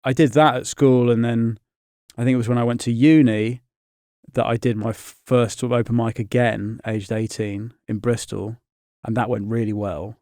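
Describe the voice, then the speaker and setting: A man's voice: mid-range voice, little pitch movement, moderate pace, a little mellow, dry recording, studio quality. A man in his thirties, raised in Scotland and England, chatting as a comedian guest on a podcast in a rambling, self-interrupting way. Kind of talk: casual interview chat. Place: podcast studio.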